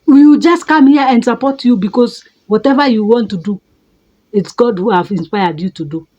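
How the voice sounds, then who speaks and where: A woman's voice: moderate pace, warm tone, dull audio. Emphatic Kenyan woman life coach. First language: a Kenyan mother tongue. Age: middle-aged. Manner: emphatic, repeats words, jumps up in pitch for stress. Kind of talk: motivational talk.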